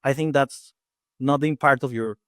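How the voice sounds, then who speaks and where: Fast-talking Peruvian man, close dry mic. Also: mid-range voice, dry recording. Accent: Peruvian Spanish. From Peru. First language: Spanish. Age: 40s. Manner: talks fast, many restarts and fillers. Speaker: guest dentist and faculty. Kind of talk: casual interview chat.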